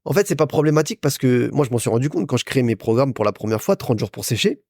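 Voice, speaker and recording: A man's voice: mid-range voice, animated, fast talker, darkish tone, dry recording, clean studio sound. A young man hosting a casual business podcast, talking straight to the listener with lots of repetition and restarts. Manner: chatty, addresses listener directly, repeats himself. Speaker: podcast host. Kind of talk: casual business advice talk.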